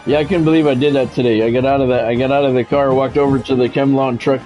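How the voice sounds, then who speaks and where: A man's voice: medium pitch, steady tone, fast, a little mellow, faint music behind, low-quality recording. A man doing practised radio-style football commentary in clipped phrases, mixed with casual chat, as he streams a video game.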